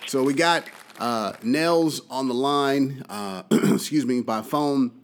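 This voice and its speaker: A man hosting a casual talk radio show from a studio, relaxed and full of uh and mm-hmm fillers. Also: mid-range voice, slow, a little hiss or hum. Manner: relaxed, fills his speech with uh and mm-hmm. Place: studio in Austin, Texas.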